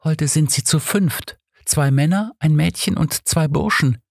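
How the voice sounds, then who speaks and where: A man's voice: a little high, measured pace, vocal fry, no room sound, clean studio sound, voice pushed up. A man voicing a guarded, matter-of-fact young workhouse girl with a faint defiance, in an audiobook story. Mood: matter-of-fact, resigned. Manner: matter-of-fact, resigned, deferential in wording.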